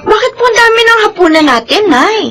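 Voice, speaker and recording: A woman's voice: extremely high pitch, very animated, a little fast, background noise. A woman playing a cheeky, inquisitive young student sister in a Filipino radio drama, with a pert, questioning manner. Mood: curious, pouting. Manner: bright-eyed, pert, questioning.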